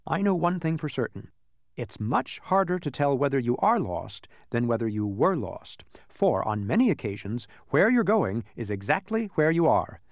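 Guy doing a cheery absent-minded storybook man, proud of himself, raised pitch, very animated. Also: normal speed, dry recording, clean studio sound. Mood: muddled. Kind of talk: audiobook character voice.

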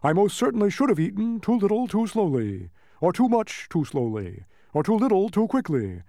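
Pompous talking bug for a storybook, high and swooping, florid and self-important. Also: male voice, very animated, normal speed, warm tone, no echo, clean studio sound. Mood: wheezing, distressed. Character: pompous, fickle, gluttonous talking humbug bug.

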